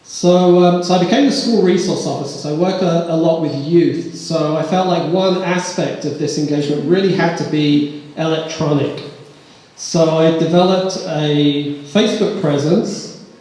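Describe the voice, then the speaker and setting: A police officer giving a live pitch talk on stage, joking at his own expense with the audience. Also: normal speed, mellow. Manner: self-deprecating, joking with the audience. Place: large echoey hall. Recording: echoey hall, roomy reverb.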